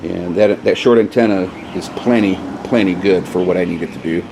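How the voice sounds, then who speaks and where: A man in a casual, hesitant show-and-tell, thinking out loud like a DIY vlogger in an informal, rambling way. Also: low-pitched, fairly quick. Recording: clearly noisy, radio chatter at times.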